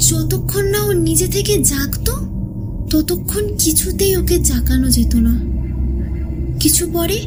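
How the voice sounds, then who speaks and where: High-voiced woman narrating suspense over music. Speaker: storyteller narrator. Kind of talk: dramatic scripted horror story narration. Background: background music.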